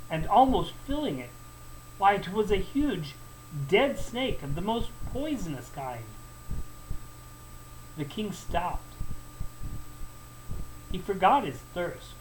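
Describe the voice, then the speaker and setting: A man narrating a folktale as a storyteller, in an expressive, deliberate and emphatic way. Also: high-pitched, poor audio. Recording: faint background noise.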